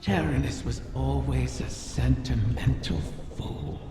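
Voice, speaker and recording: An actor voicing an ancient, cunning, mournful dragon spirit in a video game, speaking in solemn, theatrical, cryptic lines. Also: male voice, medium pitch, slow, noisy background, natural voice. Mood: mournful, grave. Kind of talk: scripted video game monologue. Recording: long cavernous reverb, loud music behind.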